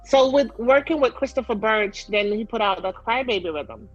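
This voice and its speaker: A woman's voice: fairly high pitch, moderate pace, highs cut off, faint music behind. Chatty woman with a light Jamaican accent. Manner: chatty, rambling, many fillers and restarts. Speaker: singer, interview guest. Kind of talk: casual storytelling in an interview.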